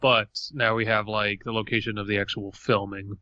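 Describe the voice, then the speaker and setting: A man's voice: on the low side, flat delivery, moderate pace, sharp tone, no echo, muffled recording. A man co-hosting a podcast, chatting casually and commenting on a story. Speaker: podcast co-host.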